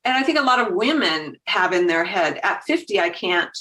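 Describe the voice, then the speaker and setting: A woman's voice: fairly low pitch, very animated, normal speed, a bit bright, poor audio. A woman in her fifties, a podcast guest talking casually and animatedly, laughing easily and voicing her own thoughts as quotes. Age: 50s. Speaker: guest, agency founder. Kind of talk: casual conversation.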